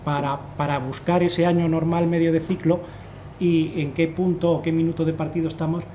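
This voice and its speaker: A man's voice: fairly high pitch, little pitch movement, normal speed, mellow, highs cut off, slight background noise. A man in his thirties speaking on a panel in a conference room, explaining his analysis with many restarts. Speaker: fund manager on a panel. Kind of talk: panel discussion. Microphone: desk microphone.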